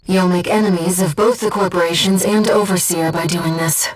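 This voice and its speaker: A woman voicing a gentle, disembodied young female companion in a video game, giving a mercenary pilot composed, earnest and precise tactical reports in a soft, breathy voice. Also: lowish voice, fairly even intonation, moderate pace, distant mic, faint music behind, close to an everyday voice, rough recording. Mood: calm, focused. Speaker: mission operator companion.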